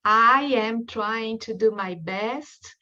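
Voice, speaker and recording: A woman from Brazil with a strong Brazilian accent, a Portuguese speaker giving a prepared academic lecture in a careful, measured way with frequent ums. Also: on the high side, sharp tone, muffled recording. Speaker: guest lecturer.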